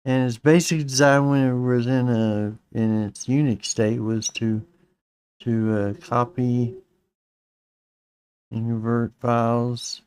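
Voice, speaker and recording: Slightly raspy man hosting a live stream. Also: medium pitch, steady tone, slow, a little mellow. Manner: rambling, with fillers and restarts. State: slightly raspy, clearing his throat. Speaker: host of a live-streamed Linux tutorial. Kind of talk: casual live-stream tech walkthrough.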